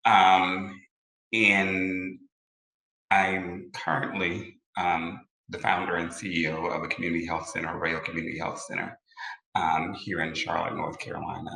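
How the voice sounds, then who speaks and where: Very deep, gravelly man on a video call. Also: flat delivery, a little slow, bright, forceful tone, reverberant, poor audio. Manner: easygoing and joking. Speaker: community health center founder and CEO. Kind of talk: panelist self-introduction.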